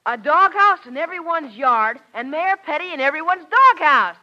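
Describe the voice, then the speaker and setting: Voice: sits high, lively intonation, moderate pace. A performer playing an eager, cheeky young American son in a 1940s radio comedy. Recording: dull vintage radio recording.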